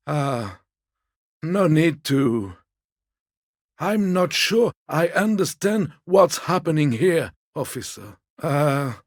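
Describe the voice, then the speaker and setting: An actor playing a fussy, timid old gourmand gentleman in a video game, a husky voice and a polite, hesitant, slightly pompous manner. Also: fairly high pitch, no room sound, clean studio sound, exaggerated voice. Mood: uneasy, placating. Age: older. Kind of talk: video game character dialogue.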